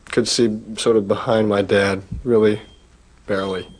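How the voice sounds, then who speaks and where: Male witness, twenties, fairly low pitch, fragmented courtroom testimony. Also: little pitch movement, darkish tone. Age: 20s. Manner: halting, broken phrases.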